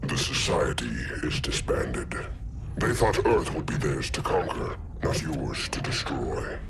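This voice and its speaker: A man playing a menacing, articulate gorilla villain in a video game, with a deep gravelly growl. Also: big pitch swings, a little slow, heavy background noise, full character voice. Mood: bitter contempt. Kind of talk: video game cutscene acting.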